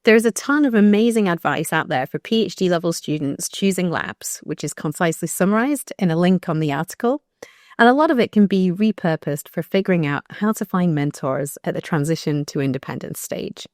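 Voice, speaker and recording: Croaky-voiced woman reading a blog. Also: on the low side, lively intonation, no echo, clean studio sound. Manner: measured, thoughtful reading with brief restarts. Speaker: researcher narrating her blog. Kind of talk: reading a blog post aloud.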